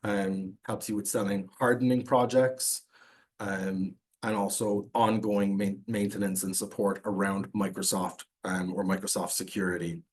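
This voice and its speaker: A man with a fairly low voice gives a vendor pitch over a video call. He moves quickly and fills his pauses with "um.". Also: little pitch movement. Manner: quick, filler-laden pitching. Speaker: vendor presenter. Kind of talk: pitch presentation.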